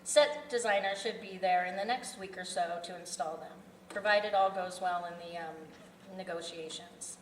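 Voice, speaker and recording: A woman reading the part of a formal, evasive serial killer in a script table read, halting and businesslike. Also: fairly low pitch, lightly acted. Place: indoor festival venue table read. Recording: distant echoey room with background noise.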